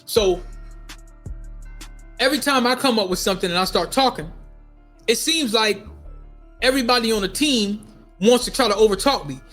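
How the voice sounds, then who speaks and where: A middle-aged man with a notably high voice tells a personal story. He talks casually and expressively, circling back on phrases to stress them. Faint music plays behind him. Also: moderate pace, long pauses. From United States, Minnesota. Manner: animated retelling with acted-out quotes, repeating phrases for emphasis. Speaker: storyteller vlogger.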